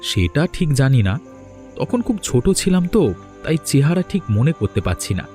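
A man's voice: on the high side, very animated, moderate pace, darkish tone. A man playing an earnest, grateful young man in a moral-tale cartoon, speaking with dramatic emphasis. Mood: wistful, curious. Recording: music behind the voice.

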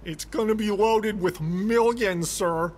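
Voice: medium pitch, slow pace, darkish tone. An actor playing an earnest police lieutenant in a video game, deferential, hesitant and eager to please the boss. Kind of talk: cinematic game dialogue.

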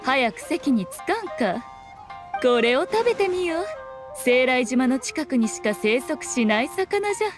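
A sly fox-spirit shrine maiden of an anime game, speaks high and with lively intonation. She is haughty and teasing in archaic, noble wording, with faint music behind. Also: a little slow. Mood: inviting. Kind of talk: Japanese anime game voice acting.

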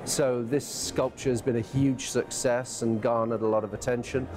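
A man's voice: mid-range voice, fairly even intonation, normal speed. A man in his fifties, a gallery owner, talking enthusiastically in an interview at an art fair. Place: art fair hall, indoors.

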